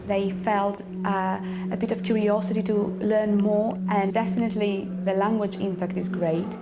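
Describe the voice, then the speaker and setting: A woman's voice: mid-range voice, level tone, moderate pace, very noisy. A woman, explaining her work as a teacher in an interview.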